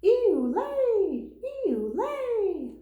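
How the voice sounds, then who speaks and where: A woman's voice: very high-pitched, big pitch swings, extremely slow, warm tone, echoey room, lo-fi sound, voice pushed up, exaggerated voice. A woman imitating small storybook birds with repetitive, sing-song calls. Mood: bright, calling. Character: chorus of small storybook birds calling brightly.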